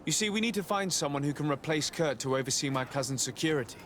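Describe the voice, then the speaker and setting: An actor playing a polite, inquisitive noble diplomat in a video game, with formal, probing speech. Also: male voice, a little high, expressive, fast talker, lightly acted. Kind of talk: video game cutscene acting. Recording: outdoor ambient noise throughout.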